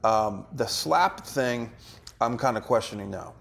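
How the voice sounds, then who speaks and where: A man directing actors, giving feedback in deliberate, hesitant phrases in a studio. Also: mid-range voice, lively intonation, gravelly, a bit bright. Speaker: film director. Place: indoor studio.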